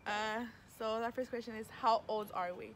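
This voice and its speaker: A woman's voice: on the high side, fairly even intonation, normal speed. A woman in her twenties in casual vlog chat, slangy and profane, slipping into Spanish, recorded outdoors. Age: 20s. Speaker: vlog co-host. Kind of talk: casual chat answering questions. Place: outdoors by a parking area. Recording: noisy outdoor ambience.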